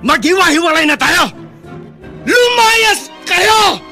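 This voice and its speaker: Isang lalaking aktor na gumaganap bilang mapang-utos at galit na amang koronel sa dulang panradyo. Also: male voice, extremely high pitch, expressive, slow, soft background music, heavily acted. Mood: furious, defiant. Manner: commanding, angry, shouting. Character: harsh, domineering father, a proud military colonel. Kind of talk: Filipino radio drama acting.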